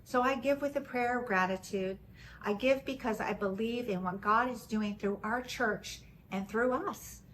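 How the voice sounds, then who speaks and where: A woman in her forties from Texas, with a slight Texan accent, giving a gentle, measured personal testimony as a church member. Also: a little high, slight background noise, rough recording.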